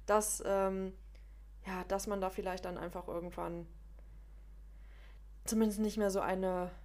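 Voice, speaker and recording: A woman's voice: medium pitch, little pitch movement, a little slow, darkish tone. German woman with a regional dialect. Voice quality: speech impediment. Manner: hesitant, many fillers and restarts. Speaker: podcast co-host. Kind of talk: casual podcast chat.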